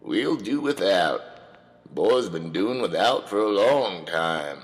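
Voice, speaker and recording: A man playing a gruff, weary mountain father, stern and protective, in a comedic radio drama. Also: deep voice, lively intonation, takes it slowly, in a large hall. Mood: curt, resolute.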